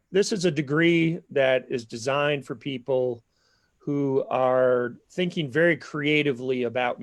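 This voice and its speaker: A man with a Minnesota accent, an academic dean explaining a degree program in an online info session, measured and full of small pauses. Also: medium pitch, no echo. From southern Minnesota.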